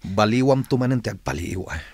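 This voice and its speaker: A man's voice: lowish voice, lively intonation, a little fast, gravelly, a little mellow, husky, dry recording, heavily acted. A man playing a young gang boss in a radio drama, firm but lenient with those under him. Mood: firm, easygoing.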